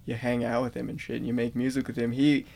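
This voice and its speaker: A man with a gravelly, mid-pitched voice who hosts a relaxed conversation. He is talkative, laughs easily and swears casually. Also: fast. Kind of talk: casual chat.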